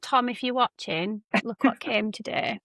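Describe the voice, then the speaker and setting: A woman's voice: fairly high pitch, a little slow, a bit bright, no echo. A woman co-hosting a podcast, chatting casually with plenty of enthusiasm and giggles. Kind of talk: casual chat.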